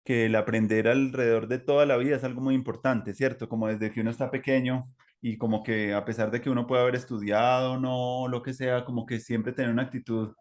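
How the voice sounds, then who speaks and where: A man's voice: mid-range voice, level tone, fairly quick, few pauses. A man with a Colombian accent hosting an interview in a casual, rambling way, often checking for agreement. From Manizales, Colombia. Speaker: interviewer, podcast host. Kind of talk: casual chat.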